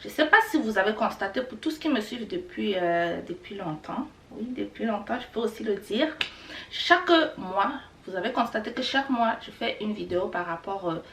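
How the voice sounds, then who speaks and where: A woman's voice: mid-range voice, animated, moderate pace, a bit bright, a little hiss or hum. Chatty woman in an echoey kitchen. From Rwanda. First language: Kinyarwanda. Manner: chatty and repetitive, many fillers. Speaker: language teacher vlogger. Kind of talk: casual teaching vlog. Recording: echoey room, a bit far from the mic.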